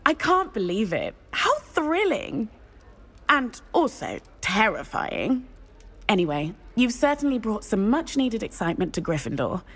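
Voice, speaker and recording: An actress voicing a daring, excitable teenage witch in a video game, with a breezy British way of speaking. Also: a little high, measured pace, full character voice. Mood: breezy, thrilled. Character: bold, excitable teenage witch schoolgirl, daring thrill-seeker. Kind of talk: scripted video game dialogue.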